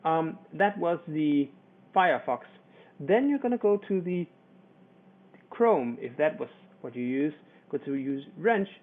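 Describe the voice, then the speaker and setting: A man with a Danish accent, a native Danish speaker, gives an informal promotional screencast tutorial in a hesitant, halting way. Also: a little high, animated, narrow, tinny audio. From Denmark. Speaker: product reviewer and presenter. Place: voice-over for screen recording.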